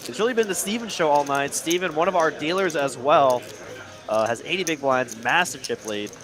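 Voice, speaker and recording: A man's voice: on the high side, fairly quick, a bit bright. A young man hosting a live poker stream, upbeat, thanking viewers and reading the chat aloud. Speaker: livestream host and poker commentator.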